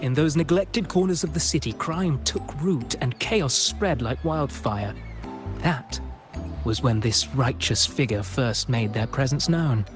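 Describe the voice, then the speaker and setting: A man playing a courteous officer of a peacekeeping order in a video game, telling a story formally and deliberately. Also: medium pitch, lightly acted. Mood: courteous, solemn. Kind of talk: scripted video game dialogue. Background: plucked guitar music.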